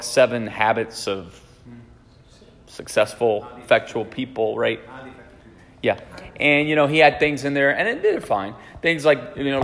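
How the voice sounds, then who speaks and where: A man's voice: mid-range voice, in a large hall. A pastor in his forties giving a conversational teaching sermon, often checking in with the congregation. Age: 40s. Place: church sanctuary.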